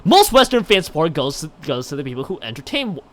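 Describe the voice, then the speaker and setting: A man's voice: on the high side, sing-song, fast, noisy background. A man livestreaming, chatting and reading a visual novel aloud, often stumbling over and rereading his lines. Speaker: live streamer.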